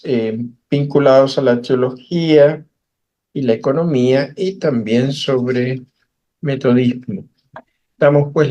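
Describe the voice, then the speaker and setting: A man's voice: medium pitch, a little mellow. A man introducing a guest speaker at an online seminar, with a formal, hesitant delivery over a video call. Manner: slow, hesitant, with fillers. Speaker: seminar moderator.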